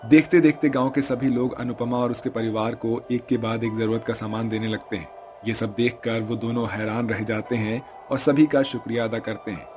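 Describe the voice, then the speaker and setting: A man's voice: medium pitch, steady tone, normal speed, a little mellow, faint music behind. A man giving scripted narration for a cartoon story.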